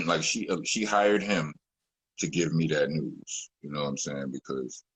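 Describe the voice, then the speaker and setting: A man's voice: fairly even intonation, a little slow, a bit bright, slightly rough, low-quality recording. A man, a rapper talking in a loose, rambling way full of fillers and restarts in an interview. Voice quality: deep voice. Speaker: rapper, guest. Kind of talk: casual interview talk.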